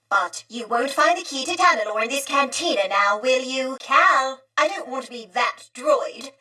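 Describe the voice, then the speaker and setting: A woman's voice: high-pitched, bright, forceful tone, far from the mic, full character voice. A woman voicing a prim, courteous, fretful female droid from a bygone era in a video game, with formal, genteel phrasing. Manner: formal, polite, genteel old-fashioned phrasing. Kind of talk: video game character dialogue.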